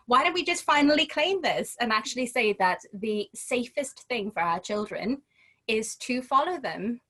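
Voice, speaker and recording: A woman's voice: a little high, expressive, a little slow, fairly bright tone. Thoughtful woman guest. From Trinidad and Tobago. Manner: thoughtful, restarts phrases often. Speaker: guest, home educator. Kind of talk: casual conversational interview.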